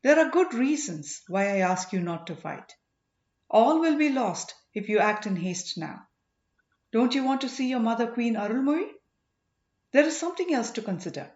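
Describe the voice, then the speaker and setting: A woman's voice: fairly high pitch, a little mellow, echoey room, muffled recording, voice pushed up, natural voice. A woman voicing a loyal, earnest male boatman in a storybook, pleading respectfully.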